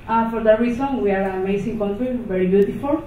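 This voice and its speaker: A woman from Venezuela, a non-native English speaker with a Venezuelan accent, giving an unscripted conference talk in a halting way, with many restarts and fillers. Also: mid-range voice, slight background noise. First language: not English. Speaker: conference presenter. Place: conference hall. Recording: echoey, distant, phone-like hall recording.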